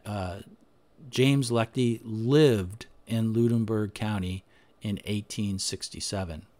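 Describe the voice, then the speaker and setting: A man with a fairly low voice walks through documents on his screen, talking them through in an unscripted way. He pauses often, says "uh", and restarts his sentences as he goes. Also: darkish tone. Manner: unscripted, thinking aloud with frequent "uh" and restarts. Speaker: history podcaster. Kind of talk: screen-share presentation, talking over slides.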